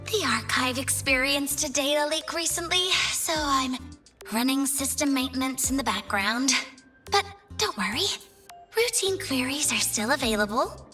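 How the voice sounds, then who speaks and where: A female AI archivist in a video game. She is courteous and dutiful, with a high-pitched, very bright voice and formal phrasing. Faint music sits behind her. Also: animated, moderate pace. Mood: polite, helpful. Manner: polite, formal, helpful.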